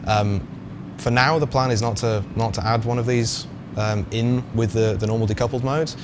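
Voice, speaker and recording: A man's voice: mid-range voice, fairly even intonation, normal speed. A man giving casual explanations as a developer, thinking aloud with some hesitation. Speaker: game developer guest answering questions. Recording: clear vehicle and ambient noise behind.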